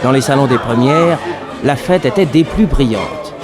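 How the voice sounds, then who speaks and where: An actor narrating a 1960s French radio drama as a sober storyteller setting the scene. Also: male voice, medium pitch, normal speed, lightly acted. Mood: measured. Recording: old radio drama with crowd noise.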